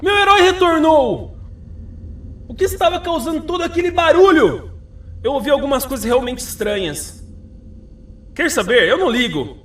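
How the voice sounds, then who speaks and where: A fickle, gleeful creature from a game, chatters excitably. The voice is extremely high and animated, echoing a little way from the mic. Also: male voice, a little fast, long pauses. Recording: echoey, slightly distant.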